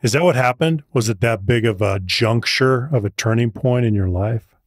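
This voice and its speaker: A man's voice: medium pitch, normal speed, dry recording, clean studio sound. A man hosting a podcast interview, asking probing, affirming questions. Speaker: podcast host.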